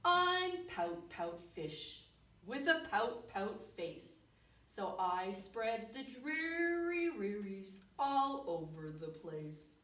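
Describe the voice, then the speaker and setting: A woman voicing a gloomy, pouting male fish, slowly chanting a mournful sing-song refrain. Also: mid-range voice, sing-song, fairly bright tone, heavily acted. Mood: glum. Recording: echoey room, distant mic.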